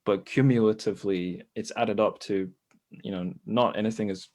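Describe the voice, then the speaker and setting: A man's voice: lowish voice, fairly even intonation, normal speed, a little mellow. A man hosting a casual podcast panel, thinking aloud in a conversational way. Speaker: podcast host.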